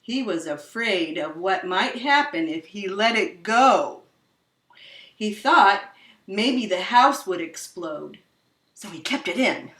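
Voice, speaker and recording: Animated, bright-voiced woman reading a storybook. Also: medium pitch. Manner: dramatic, drawn-out emphasis. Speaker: storyteller reading a children's picture book aloud. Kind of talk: expressive read-aloud storytelling. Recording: roomy echo, distant microphone.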